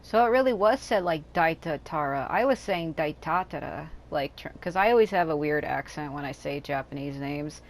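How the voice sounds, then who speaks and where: A woman's voice: sits low, lively intonation, normal speed, hardly any pauses. A woman hosting a gaming channel, talking casually over her gameplay with rambling, joking asides. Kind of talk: casual let's-play commentary. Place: hot room at home.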